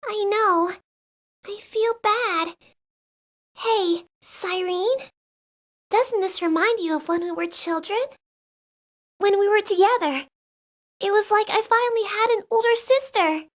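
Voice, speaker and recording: Woman voicing a very high-pitched, girlish young princess. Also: slow, long pauses. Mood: wistful, fond. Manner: earnest, girlish. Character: young sheltered princess, earnest, affectionate, eager to learn. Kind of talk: video game fandub acting.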